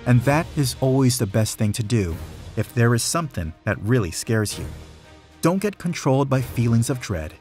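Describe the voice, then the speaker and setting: Expressive man reading a rhyming storybook over faint music. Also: mid-range voice. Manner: measured, rhythmic storytelling with emphasis. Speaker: storybook reader. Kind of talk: expressive read-aloud of a rhyming children's story.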